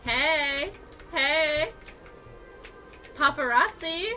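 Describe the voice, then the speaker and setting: A woman streaming a video game with casual, excitable, gushing and sweary live commentary. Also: high-pitched, drawn-out words. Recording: music behind the voice. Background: video game music.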